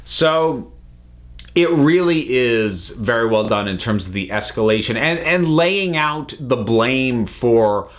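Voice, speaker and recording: A man's voice: medium pitch, lively intonation, takes it slowly. A man giving an unscripted review as a commentator, talking analytically and often stopping to rephrase himself. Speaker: reviewer, channel host.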